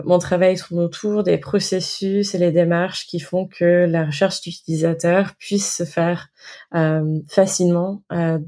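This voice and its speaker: A woman explains her job in an interview, hesitating often on fillers. Her voice sits low for a woman. It sounds warm and mellow. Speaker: research operations manager. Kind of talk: interview answer.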